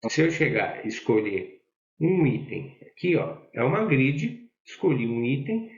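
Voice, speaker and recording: Man with a gravelly voice, echoey room. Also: mid-range voice, big pitch swings, measured pace. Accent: Brazilian Portuguese. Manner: explanatory, keeps checking in with "tá?". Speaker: software instructor. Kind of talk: screen-share software tutorial. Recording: echoey, dull lo-fi audio.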